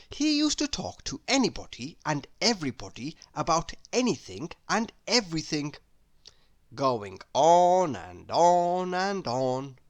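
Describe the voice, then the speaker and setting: Low-pitched, hugely animated woman storyteller. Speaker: storybook narrator. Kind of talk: expressive children's read-aloud narration.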